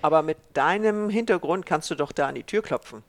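A podcast host reading prepared interview questions and offering warm compliments. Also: mid-range voice, lively intonation, a little fast.

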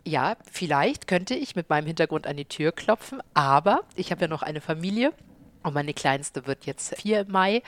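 Woman in her 30s, Hamburg accent, chef, slightly low voice, eager and chatty in interview. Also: moderate pace. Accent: Hamburg German. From Hamburg, Germany. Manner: lively, enthusiastic storyteller. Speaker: chef and restaurant owner. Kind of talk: casual interview answers.

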